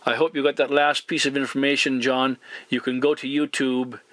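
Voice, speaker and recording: Male radio operator, measured and polite, bright edge to the voice, very dry recording, methodical over-and-out delivery. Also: mid-range voice, flat delivery, no echo. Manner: courteous, deliberate, formal radio procedure. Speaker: CB radio operator and video channel host. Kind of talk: CB radio exchange with spelling alphabet.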